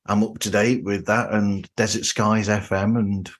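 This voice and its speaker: A man's voice: lowish voice, flat delivery, a little slow. A middle-aged British man chatting casually as a podcast host. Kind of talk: casual chat.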